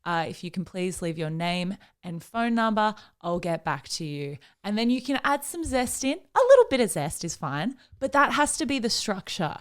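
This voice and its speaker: A woman's voice: mid-range voice, expressive, normal speed, few pauses. A young woman chatting casually as a podcast co-host, blunt and sweary. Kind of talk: casual chat.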